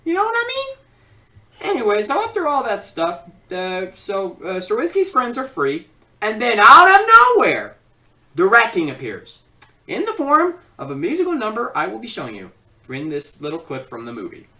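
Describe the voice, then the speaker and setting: A woman giving a casual, rambling, excitable comedic film review. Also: medium pitch, moderate pace, recorded from a distance.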